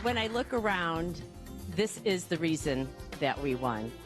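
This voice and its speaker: A woman with a slightly low voice thanks her staff in a heartfelt speech. She speaks a little haltingly, and faint music plays behind her. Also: very animated. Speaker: airport director giving a speech.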